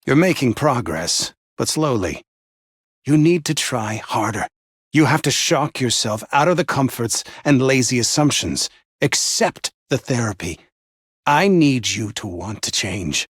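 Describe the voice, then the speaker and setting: An actor playing an intense, manipulative mentor, theatrical and condescending, with a husky voice, in dramatic villain voicelines. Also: male voice, mid-range voice, expressive, a little slow, no echo, studio quality. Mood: urgent, demanding. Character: intense, demanding male mentor, manipulative and passive-aggressive.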